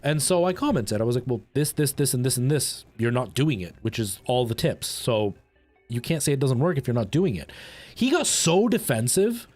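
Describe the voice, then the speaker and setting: A male livestream host with a mid-pitched voice and music playing behind him. He chats casually with viewers, rambling in an animated, colloquial way. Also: moderate pace. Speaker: live streamer and host.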